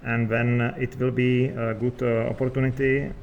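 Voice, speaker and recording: Male gamer, average pitch, hesitant improvised role-play, non-native phrasing, slight noise behind. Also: level tone, moderate pace, gravelly, darkish tone, muffled recording. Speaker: gamer playing as the hero.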